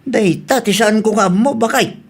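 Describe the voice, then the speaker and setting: A man's voice: high-pitched, moderate pace, vocal fry. A man with a Filipino Ilocano accent playing a poor, mild old scrap collector in a radio comedy-drama. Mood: mild protest.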